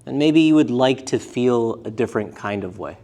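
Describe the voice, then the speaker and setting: A man teaching a live yoga and meditation class, speaking gently in short, unhurried phrases with pauses between them. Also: mid-range voice, animated. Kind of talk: guided meditation class instruction.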